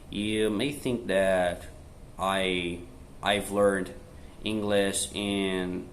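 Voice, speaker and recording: A young man from Brazil gives learning tips straight to the camera in a relaxed, chatty way. His voice sits a little low, and he drops in small tag words as he goes. His English carries a Brazilian accent, and a faint hum of room noise sits under the recording. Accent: Brazilian Portuguese. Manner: chatty, fillers like né and tá, switches to slow careful English. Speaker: vlogger teaching language tips. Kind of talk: casual direct-to-camera tips.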